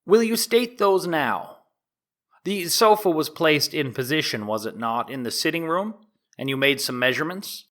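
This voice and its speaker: A man's voice: a little high, expressive, moderate pace, a bit bright. A man playing a courteous, methodical 1890s prosecuting lawyer in an audio drama, questioning formally and precisely. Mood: formal, probing. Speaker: actor in an audio drama reading. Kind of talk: dramatic reading of a trial transcript.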